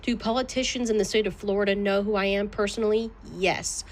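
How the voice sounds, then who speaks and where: A woman's voice: mid-range voice, steady tone, a little fast. A woman answering questions in a legal deposition in a guarded, clipped manner. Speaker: plaintiff being deposed.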